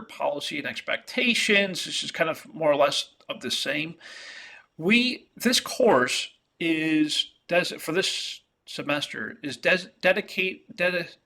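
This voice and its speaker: Male professor, New York accent, Italian first language, bright and fairly high voice, stop-start virtual lecture over a call. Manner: halting, many fillers and restarts. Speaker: economics professor. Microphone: video call.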